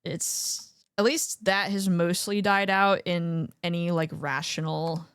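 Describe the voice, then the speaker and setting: A woman with a fairly low voice talks in a casual, chatty way. She hosts an informal streamed interview. Her sound is dry, with very little room to it. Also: little pitch movement, slow pace, fairly bright tone, clean tone, no room sound. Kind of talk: casual chat.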